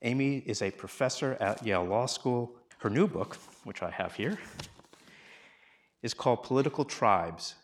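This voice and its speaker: A man hosting an on-stage talk, formally introducing a guest and asking measured questions before a live audience. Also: mid-range voice, lively intonation, slight background noise. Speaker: interview host and moderator.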